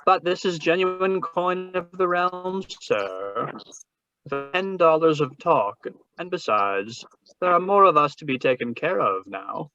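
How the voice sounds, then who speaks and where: A man's voice: on the high side, takes it slowly, sharp tone, faint music behind, heavily acted. Man playing a florid, courtly crime boss, muffled audio. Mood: smooth bargaining. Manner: florid, courtly, chuckling, mannered speech. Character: genteel, scheming, jovial older male crime boss. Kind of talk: 1940s radio drama acting. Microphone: video call. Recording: muffled, noisy video-call audio.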